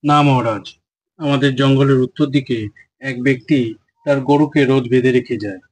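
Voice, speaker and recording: A man's voice: medium pitch, steady tone, normal speed, darkish tone, breathy edge, no echo, close to an everyday voice, rough recording. Man as a humble, placating cartoon elephant. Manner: deferential, placating. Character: slow, humble elephant flattering a tyrant. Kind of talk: children's cartoon voice acting.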